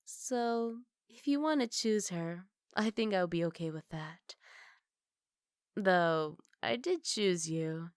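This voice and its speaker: A woman's voice: on the low side, lively intonation, slow, clean tone, dry recording, clean studio sound. A woman voicing a spoiled but sweet young wolf girl in an ASMR roleplay, speaking in an earnest, coaxing way. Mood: tender, apologetic. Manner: pleading, earnest, gently coaxing.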